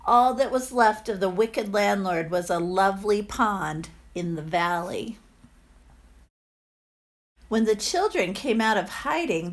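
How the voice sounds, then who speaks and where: A woman narrates a children's tale as a teacher would, with expression. Her voice sits a little high and she takes her time over every phrase. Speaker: teacher reading aloud to children.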